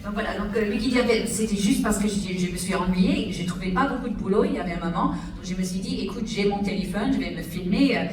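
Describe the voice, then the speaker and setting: A woman interviewing and interpreting guests' answers into French, with quick paraphrasing and fillers. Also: mid-range voice. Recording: echoey room, distant mic, faint noise.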